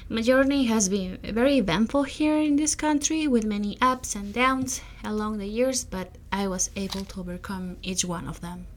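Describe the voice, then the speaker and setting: Woman, young adult, Peruvian Spanish accent, native Spanish speaker, mid pitch, earnest storytelling, some restarts. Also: normal speed, hardly any pauses. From Peru. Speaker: podcast guest. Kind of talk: personal storytelling.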